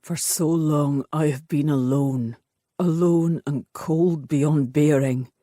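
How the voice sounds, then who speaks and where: A woman voicing a brooding, cursed Highland man with a low, strained voice. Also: steady tone, slow, dark tone, dry recording, studio quality. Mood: tormented.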